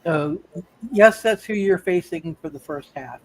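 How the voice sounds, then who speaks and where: A man as game master chatting casually with his players, halting and restarting his phrases. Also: fairly high pitch, expressive, no echo, poor audio.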